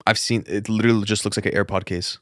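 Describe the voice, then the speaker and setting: Young male podcast co-host, rapid low-pitched delivery, blunt sweary banter, close dry sound. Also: steady tone, fairly bright tone, no echo. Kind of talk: casual bantering debate.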